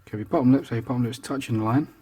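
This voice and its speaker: Husky chatty man talking through a drawing. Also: mid-range voice, fairly even intonation, rapid-fire, dark tone. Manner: chatty, self-deprecating, talks through each step. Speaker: drawing tutor. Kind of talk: casual real-time drawing tutorial. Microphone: voice over the drawing, not seen speaking.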